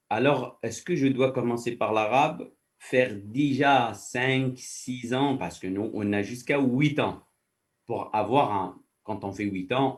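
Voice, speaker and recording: A man's voice: on the low side, recorded from a distance. A man, a faculty director, giving explanatory answers as an interview guest and patiently walking through first-person examples.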